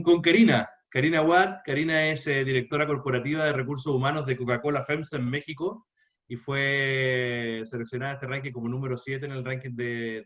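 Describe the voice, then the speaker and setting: Man moderating a panel over dull audio. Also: mid-range voice.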